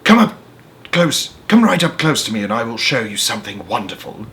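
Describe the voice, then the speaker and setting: A man reading aloud, voicing a creepy old man who speaks slowly in a creaky, coaxing whisper. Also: medium pitch, sing-song, sharp tone.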